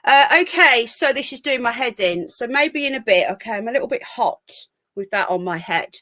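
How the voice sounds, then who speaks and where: A woman's voice: fairly high pitch, lively intonation, normal speed, pushed and bright. Chatty woman host with a cold. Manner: chatty, rambling, frank, swears. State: full of cold. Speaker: livestream call-in show host. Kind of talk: casual live stream chat. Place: home. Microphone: laptop.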